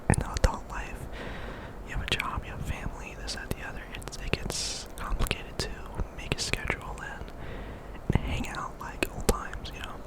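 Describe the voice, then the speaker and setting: Young male ASMR gaming host. Fully whispered, breathy delivery, casual and rambling, with repeated phrases. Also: normal speed, poor audio.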